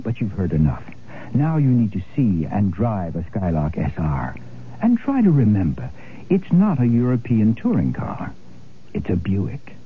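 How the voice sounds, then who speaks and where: A man's voice: lowish voice, huge pitch swings, a little slow. A man reading a scripted 1970s radio car commercial in a polished, persuasive announcer style. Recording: tinny old radio broadcast with faint noise.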